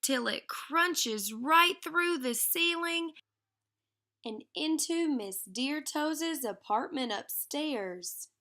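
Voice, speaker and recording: A worrying storybook mother, voiced by a woman narrator, full of dramatic, wry warnings. She speaks slowly, in a high and bright voice. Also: expressive, exaggerated voice. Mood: exasperated. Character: skeptical, worrying mother of a small girl. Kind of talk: children's storybook character reading.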